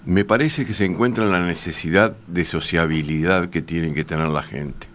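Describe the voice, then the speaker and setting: A man's voice: low voice, normal speed, fairly bright tone, a little hiss or hum. A Uruguayan man, a university teacher, speaking in a panel discussion with frequent hesitations and restarts. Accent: Uruguayan Spanish. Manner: hesitant, many fillers and restarts.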